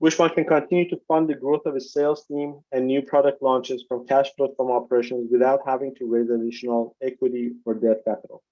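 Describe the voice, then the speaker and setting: A man reading prepared financial remarks as a company executive, formal and measured, on a video conference call. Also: medium pitch. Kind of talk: scripted corporate earnings presentation. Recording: dull, lo-fi conference call audio.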